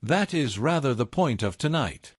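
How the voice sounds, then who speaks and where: A man voicing a smug, genial but dangerous mafia uncle in his sixties, smooth and insinuating. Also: medium pitch, big pitch swings, normal speed, no room sound, clean studio sound.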